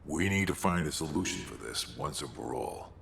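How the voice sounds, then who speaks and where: Deep, gravelly, grave commander. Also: male voice, expressive, normal speed, a bit bright, heavily acted. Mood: resolute. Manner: solemn, authoritative. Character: grave, commanding male military leader. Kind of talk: scripted game dialogue. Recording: echoey large hall with faint noise.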